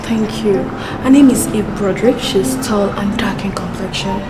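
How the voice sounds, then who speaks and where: A woman's voice: mid-range voice, moderate pace, echoey room, over loud music, lightly acted, low-quality recording. An actress playing a worried woman who earnestly explains she is looking for a friend.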